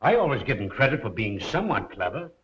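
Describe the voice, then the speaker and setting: A man's voice: medium pitch, big pitch swings, quick pace, a bit bright. A man playing a proud, condescending police commissioner with pompous 1930s film delivery. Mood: smug. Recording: dull lo-fi 1930s film soundtrack.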